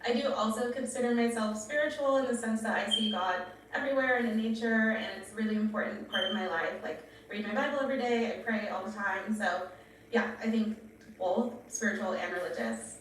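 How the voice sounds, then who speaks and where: Higher-voiced young woman, very echoey. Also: brisk. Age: 20s. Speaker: dating show contestant. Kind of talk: casual, unscripted answer. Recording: echoey, distant, faint noise.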